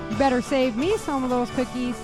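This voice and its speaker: A woman's voice: sits high, a little fast, music in the background, lightly acted. A woman playing an affectionate, reassuring working mother in an audio drama.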